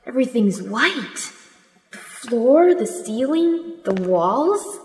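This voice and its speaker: A woman voices a gentle young female guardian who talks slowly and softly. Her voice is high and lively in pitch. It echoes in a roomy space with long reverb and faint background hiss. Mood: wary.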